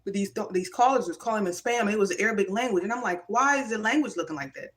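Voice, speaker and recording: A woman, talking casually on her own livestream. She speaks in an animated, emphatic way and moves between thoughtful musing and preachy encouragement. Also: mid-range voice, quick pace. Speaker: livestream vlogger. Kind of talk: casual spiritual livestream monologue. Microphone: phone or webcam livestream.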